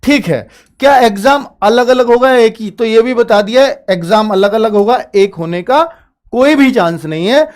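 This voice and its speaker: A man's voice: very high-pitched, moderate pace. A man talks like a coaching instructor addressing a class. He explains in an informal, instructive way, often asking a question and then answering it himself. Key points come back again for emphasis. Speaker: coaching teacher. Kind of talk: informal explanatory talk to students.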